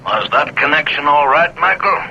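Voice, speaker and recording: A businesslike male major running intelligence in a forties radio play. His voice is piercingly bright and clipped. The old recording is a bit dull with faint noise. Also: a little high, animated, moderate pace. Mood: brisk. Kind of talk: 1940s radio drama acting.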